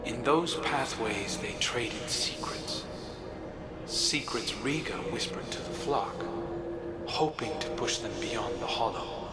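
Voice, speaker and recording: A man acting a slow, brooding, wistful storyteller. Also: medium pitch, very noisy, natural voice. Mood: wistful storytelling. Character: brooding, yearning brother telling a bird fable to his distant sister. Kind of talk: slow dramatic storytelling monologue. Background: music.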